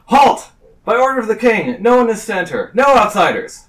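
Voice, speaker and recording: A man voicing an officious, excitable slime guard in a tabletop game, with loud, urgent calls. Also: sits high, normal speed, recorded from a distance, voice pushed up. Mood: officious, commanding. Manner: shouting, urgent proclamations.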